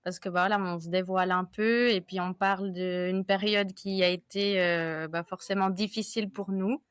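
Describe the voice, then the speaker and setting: A woman in her thirties, a podcast guest, talking in a measured way with some fillers. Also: mid-range voice, fairly even intonation, smooth, dry recording, studio quality. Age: 30s. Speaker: guest, association co-founder. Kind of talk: casual podcast interview.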